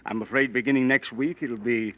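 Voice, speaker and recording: An actor playing a smug, well-spoken blackmailer in an old-time radio drama, polite, mocking and quietly threatening. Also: male voice, medium pitch, fairly even intonation, brisk, lightly acted. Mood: smug, self-satisfied. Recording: muffled old radio broadcast recording.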